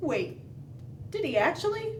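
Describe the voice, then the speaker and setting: Lady doing kids storytime, takes her time, expressive read-aloud w little questions, kinda echoey room. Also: sits high. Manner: expressive, dramatic pauses, questioning asides. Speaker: children's library storytime host. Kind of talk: animated picture-book read-aloud with asides to young children. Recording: roomy, distant mic, faint background noise.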